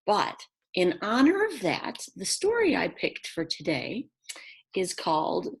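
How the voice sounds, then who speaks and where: Animated woman reading aloud to young children. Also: medium pitch, slow pace, gravelly, poor audio. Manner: expressive, addresses young children directly, simple explanations. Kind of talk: children's read-aloud with chatty asides.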